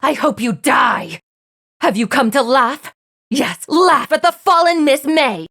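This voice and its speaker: A woman's voice: high-pitched, animated, takes it slowly, fairly bright tone, full character voice. An actress voicing a spiteful, theatrical jailed young woman from a video game, who swings between mock sweetness and venom. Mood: venomous. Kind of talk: dramatized video game dialogue.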